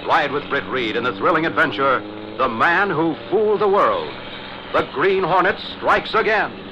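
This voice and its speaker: Dramatic, animated American radio announcer man. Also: on the high side, moderate pace, background noise. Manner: dramatic, formal enunciation. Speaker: announcer and narrator. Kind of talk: 1940s radio announcing, scripted narration. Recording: dull lo-fi 1940s radio broadcast.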